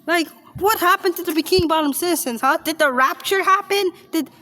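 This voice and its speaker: A woman's voice: very high-pitched, fairly quick, pushed and bright. A woman giving casual reaction commentary, rambling and often repeating herself. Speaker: reaction video host.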